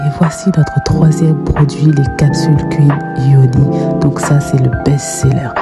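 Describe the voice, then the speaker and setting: A woman's voice: deep voice, level tone, unhurried. A woman reading an advertisement in a lilting, sing-song sales tone. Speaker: ad voice-over. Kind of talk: promotional advertisement read. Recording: ad with loud music bed.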